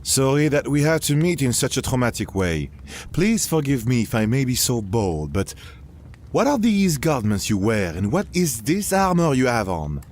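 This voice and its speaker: Man as a courteous guard, animated voice. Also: medium pitch, normal speed, slight background noise, close to an everyday voice. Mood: courteous, curious. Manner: formal, polite, old-fashioned phrasing. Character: courteous, humble male French palace guard of 1700s. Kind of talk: audio drama acting.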